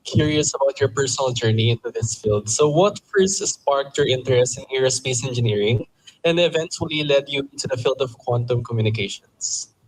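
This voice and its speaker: A man's voice: medium pitch, lively intonation, a little fast, poor audio. A man moderating a panel, asking the guest a polite question. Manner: polite, addresses guest as sir.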